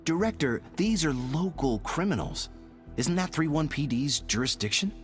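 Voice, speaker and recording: Wry squad officer, very animated. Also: male voice, a little high, normal speed. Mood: serious. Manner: dry, wisecracking. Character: wry male intelligence officer of a tactical squad. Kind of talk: scripted game dialogue. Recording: music behind the voice.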